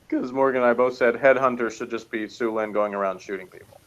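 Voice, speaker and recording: A man's voice: medium pitch, highs cut off. A man co-reviewing a TV series in casual, unscripted conversation.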